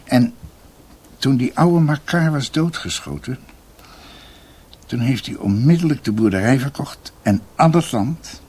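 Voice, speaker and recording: An actor playing a brooding doctor who recounts his family's history in a halting, reflective, emphatic way, as in a radio drama. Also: mid-range voice, animated, a little mellow, breathy edge. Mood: bitter.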